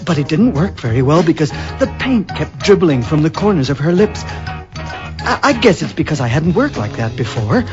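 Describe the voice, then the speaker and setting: An actor playing a mild, hesitant husband turned unhinged strangler in a radio drama, with a husky, stammering voice. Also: male voice, fairly high pitch, big pitch swings, normal speed. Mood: dreamy, unhinged. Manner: hesitant, stammering, repeating words. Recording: dull lo-fi radio recording with music.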